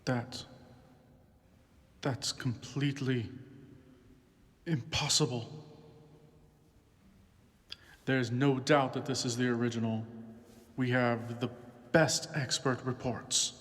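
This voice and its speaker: An actor playing a stiff, formal, unyielding German official in a dubbed film, with a clipped manner. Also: male voice, mid-range voice, steady tone, takes it slowly, pauses often, fairly bright tone, big-room sound. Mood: affronted, insistent.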